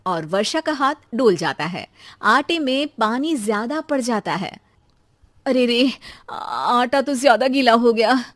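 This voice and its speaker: High-pitched woman narrating a story. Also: no echo.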